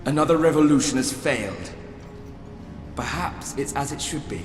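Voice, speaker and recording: An actor playing a fanatical, scheming military commander in a video game, delivering grandiose, menacing speeches. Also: male voice, medium pitch, animated, normal speed, close to an everyday voice. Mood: defeated, bitter. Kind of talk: dramatic video game villain acting. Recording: echoey hall, distant, loud music behind.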